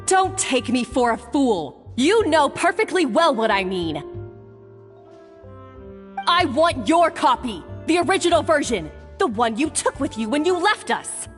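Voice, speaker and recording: A woman's voice: high-pitched, big pitch swings, measured pace. A woman playing a proud, combative young rival chef in a video game, taunting and challenging. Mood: accusing. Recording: reverberant hall, music behind.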